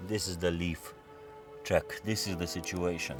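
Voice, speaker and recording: Vlogger, male, from London, Russian his first language; deep, easygoing, meandering Q&A talk. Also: a little slow, over music. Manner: relaxed, rambling, with frequent fillers and restarts. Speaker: automotive YouTuber. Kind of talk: casual Q&A chat to camera.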